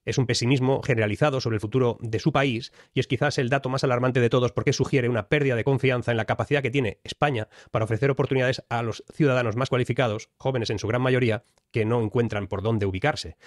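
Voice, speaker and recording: A man's voice: medium pitch, steady tone, fast, dry recording, studio quality. A man from Spain, delivering fluent, emphatic analytical commentary. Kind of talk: explanatory commentary.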